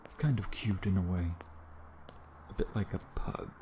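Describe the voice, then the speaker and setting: A man playing a sardonic, teasing vampire in an intimate ASMR roleplay, with a low, gravelly voice and an unhurried, predatory manner. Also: noisy background, natural voice. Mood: amused teasing. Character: teasing, sardonic male vampire, a century old, youthful predator.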